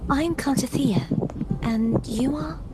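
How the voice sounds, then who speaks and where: An actress voicing a gentle, mysterious young maiden in a video game, speaking in polite, measured, formal phrases. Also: female voice, high-pitched, heavy background noise. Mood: formal, composed. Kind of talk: scripted video game voice acting. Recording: music under the voice.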